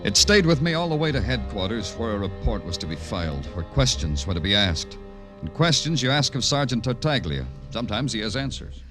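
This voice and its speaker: An actor playing a world-weary, brooding police detective and narrator in moody, hardboiled 1950s radio noir. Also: male voice, on the low side, big pitch swings, a little fast, hardly any pauses, music in the background.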